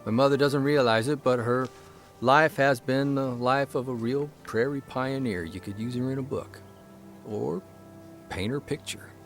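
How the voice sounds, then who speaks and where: A man voicing a young newspaper columnist, telling a story in a measured, fond way. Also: mid-range voice, lightly acted. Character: young male newspaper columnist, earnest, reflective. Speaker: actor reading a column in character. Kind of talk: scripted narration and radio drama acting.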